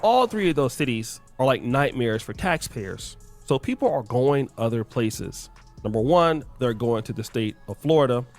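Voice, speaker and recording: Man, lively pitch, scripted commentary narration, faint music behind. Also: moderate pace.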